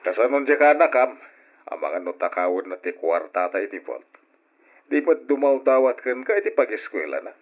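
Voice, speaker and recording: A man's voice: mid-range voice, big pitch swings, normal speed, natural voice. A man playing a middle-aged, easygoing husband and uncle in an old Ilocano radio drama. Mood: questioning. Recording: dull lo-fi old radio recording.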